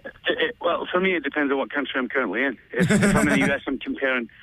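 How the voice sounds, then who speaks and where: A man in casual chat who rambles and thinks out loud as he talks. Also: medium pitch, fast talker, dry recording, poor audio.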